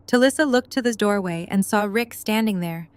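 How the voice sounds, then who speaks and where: A woman's voice: mid-range voice, normal speed, clear, resonant tone, no room sound. A woman reading scripted third-person story narration for an audio drama. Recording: faint background noise and ambience.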